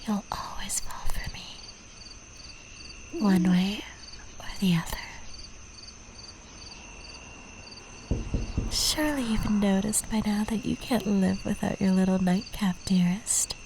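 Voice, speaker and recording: A woman playing an obsessive, possessive stalker in a whispered ASMR roleplay, speaking in a breathy, intimate way with tender menace. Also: medium pitch, a little mellow, slight background noise, natural voice. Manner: slow, intimate, cooing endearments.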